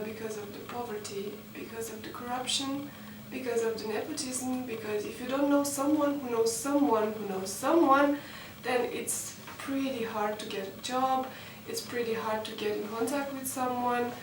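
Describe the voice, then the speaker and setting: A young woman from Romania speaks in a fairly high voice, answering interview questions earnestly and stopping often on fillers. She is recorded far from the mic in a roomy space with clear background noise. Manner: earnest, hesitant with many fillers. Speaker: interviewee, master's student.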